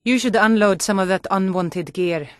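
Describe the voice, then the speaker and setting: A woman's voice: mid-range voice, moderate pace, no room sound, clean studio sound, close to an everyday voice. An actress playing a tough, plain-spoken, world-weary Nord townswoman in a fantasy video game. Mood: matter-of-fact. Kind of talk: video game character dialogue.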